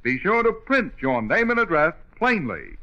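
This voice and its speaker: A man's voice: a little high, huge pitch swings, normal speed. An American man delivering an enthusiastic vintage radio commercial aimed at children. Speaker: commercial announcer. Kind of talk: 1940s radio ad pitch. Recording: dull old radio broadcast recording.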